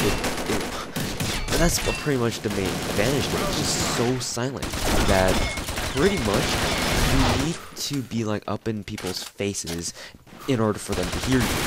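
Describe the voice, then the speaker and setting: A man with a stuffy, nasal-sounding voice, rambling casually through a game review from his room. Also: low voice. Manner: rambling, self-correcting, informal with mild swearing. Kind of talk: casual gameplay review commentary. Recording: loud gunfire noise under voice. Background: loud game gunfire.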